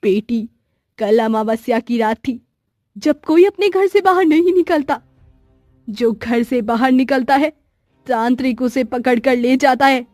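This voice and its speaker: A woman voicing a kindly, fearful village auntie in a children's cartoon story, speaking gravely and pleadingly. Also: high voice, measured pace, darkish tone, no room sound, studio quality, soft background music.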